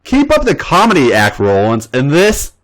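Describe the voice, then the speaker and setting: Man doing a cheeky young woman, lifted high and bouncy, teasing and bold. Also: huge pitch swings, normal speed. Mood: playful. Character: feisty, playful young woman wrestler.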